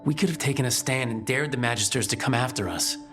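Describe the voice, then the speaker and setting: An actor playing an earnest young human mage hero in a video game, reflective and determined. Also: male voice, mid-range voice, fast talker, music in the background, lightly acted.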